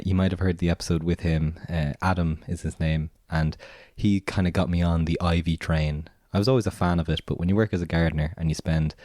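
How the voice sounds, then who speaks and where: A man with an Irish accent hosting a podcast, raspy and hoarse from a cold, talking in a relaxed, meandering way with lots of filler words. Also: low voice, dry recording, studio quality. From Ireland. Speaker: podcast host and gardener. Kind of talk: casual spoken monologue.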